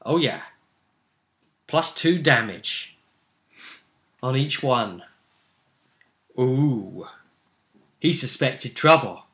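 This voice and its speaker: A man with a bright, forceful voice at a middle pitch talks as a game master. He recaps a tabletop session casually, with a jokey, theatrical streak. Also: expressive, drawn-out words, pauses often.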